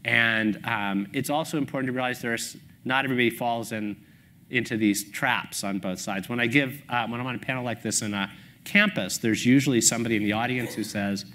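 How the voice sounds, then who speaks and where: A man's voice: medium pitch, fairly quick, sharp tone. Thoughtful man on a panel stage. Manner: thoughtful, restarts often while forming points. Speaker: panel guest, author. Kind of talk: panel discussion. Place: stage at a public talk, indoors.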